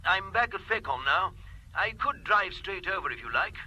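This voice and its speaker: A fussily polite, apologetic gentleman in a radio drama telephone scene. His voice is fairly high and very bright and piercing, with faint noise behind it. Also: expressive, moderate pace, full character voice, low-quality recording. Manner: polite, over-explaining. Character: apologetic, obliging, fussily polite married man.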